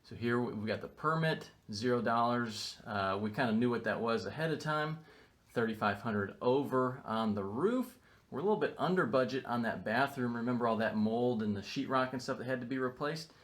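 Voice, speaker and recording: A man's voice: medium pitch, brisk, a bit bright. A man hosting a casual video, explaining his rental renovation in an upbeat, matter-of-fact way. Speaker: real estate investor vlogger. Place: renovation site, indoors and outdoors. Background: construction noise.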